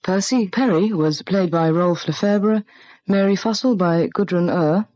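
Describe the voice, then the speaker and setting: A British woman reads scripted credits as a radio announcer. Her voice is a little low and formal, recorded close and dry with no room sound. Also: unhurried, mellow, no room sound.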